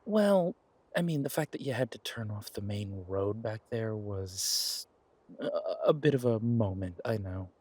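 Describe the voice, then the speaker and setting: A man playing an affectionate, eager boyfriend in an audio roleplay, talking in a casual, halting, coaxing way with a husky voice. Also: on the low side, lively intonation, darkish tone, dry recording. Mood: welcoming, amused. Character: affectionate, eager boyfriend pitching grand ideas to his werewolf girlfriend.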